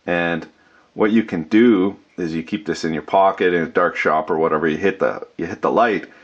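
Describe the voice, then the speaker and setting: Deep-voiced man with salty, folksy talk. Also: moderate pace, dull audio. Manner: salty, folksy swearing and joking slang. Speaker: host of a workshop channel. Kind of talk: casual, unscripted channel update.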